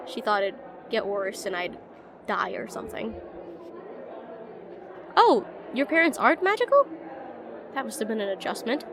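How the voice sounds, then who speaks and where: A woman's voice: high voice, background noise. A woman playing a shy, rambling young witch student in a slice-of-life fantasy audio roleplay, chatty, hesitant and self-deprecating. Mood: awkward, apologetic. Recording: voice over busy classroom crowd ambience.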